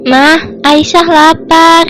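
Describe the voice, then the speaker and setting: A woman's voice: extremely high pitch, little pitch movement, a bit bright, full character voice. A sweet little girl. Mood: weak. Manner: slow, childlike, plaintive.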